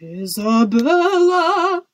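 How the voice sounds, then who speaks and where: A woman's voice: on the high side, big pitch swings, a little slow, husky, heavily acted. A woman voicing a stern, exasperated king and father in a storybook, commanding and blustering. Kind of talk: storybook character voice.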